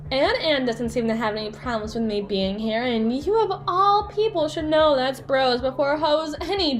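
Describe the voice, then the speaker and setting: Woman acting a high-pitched, teasing yandere girl. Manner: teasing, sassy, theatrical, drawn-out emphasis. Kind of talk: ASMR roleplay monologue addressed to the listener.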